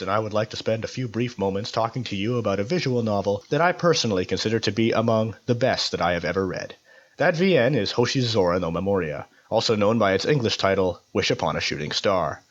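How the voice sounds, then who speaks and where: A man with a fairly low voice delivers a prepared review. His wording is formal and his delivery is measured, like a narrated segment on a hobby podcast. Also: animated. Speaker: podcast contributor giving a review. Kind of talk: scripted narration, visual novel review.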